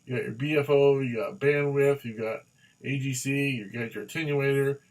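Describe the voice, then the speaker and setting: Male product reviewer, mid-pitched, casual and unscripted, halting delivery with repeated phrases. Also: fairly even intonation, rough recording. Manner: halting, rambling, repeats himself. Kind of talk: casual hands-on gadget review.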